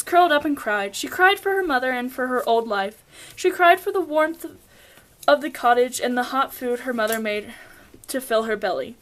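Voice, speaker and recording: A woman's voice: high-pitched, a bit bright. A young woman reading scripted storybook narration, occasionally stumbling over her words. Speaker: puppet show narrator.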